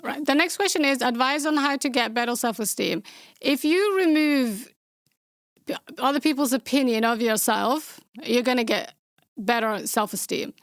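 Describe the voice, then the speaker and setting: A young woman with a Somali accent and a fairly high voice hosts a casual question-and-answer podcast. She talks bluntly and outspokenly, tossing in dry sarcastic asides. The sound is close and dry. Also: normal speed, no echo. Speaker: podcast host.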